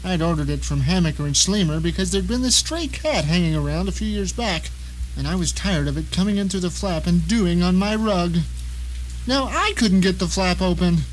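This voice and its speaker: A man's voice: high voice, animated, moderate pace, poor audio. A man playing a bitter, paranoid blind novelist who narrates his nightmare in a wry, grumbling, theatrical way for an audio drama. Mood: frustrated, alarmed. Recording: noticeable rain and ambient noise behind.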